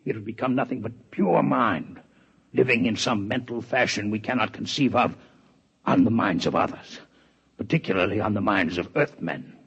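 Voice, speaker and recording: An actor playing a proud, formal alien male scientist in a 1950s radio drama, with measured, precise diction. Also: fairly low pitch, creaky, a bit bright, husky, exaggerated voice. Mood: grave. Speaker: alien doctor in a radio play. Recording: dull vintage radio recording.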